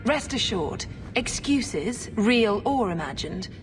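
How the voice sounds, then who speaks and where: A woman playing a haughty, scheming religious sister in a video game, with a clipped, condescending, measured delivery. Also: mid-range voice, sing-song, soft background music. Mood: dismissive. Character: haughty, scheming Chantry sister, coolly calculating. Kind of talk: video game cutscene acting. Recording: long hall reverb, clear background noise.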